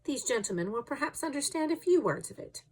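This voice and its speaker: A calm and deferential manservant in an adventure storybook, read by a woman. He speaks formally and politely, with an unruffled, philosophical temper. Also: high voice, a little fast, voice pushed up.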